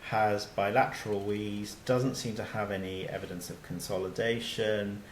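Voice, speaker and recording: Low-voiced man giving a slide lecture. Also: fairly even intonation, normal speed, reverberant, slight background noise. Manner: conversational, with many fillers and restarts.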